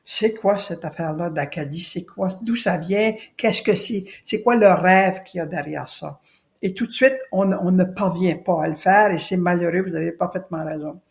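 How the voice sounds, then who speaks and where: A woman's voice: on the low side, normal speed, gravelly. A woman from New Brunswick, speaking earnestly as a podcast guest and repeating her key points for emphasis. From Acadia, New Brunswick. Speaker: guest, citizen committee spokesperson. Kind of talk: podcast interview.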